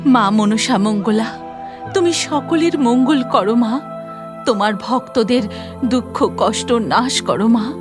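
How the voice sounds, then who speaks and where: A woman's voice: a little high, slow pace, over music. A woman playing a devout village mother in a cartoon moral story, speaking in an emotional, imploring way. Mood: reverent pleading. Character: devout, pleading village mother praying to her goddess.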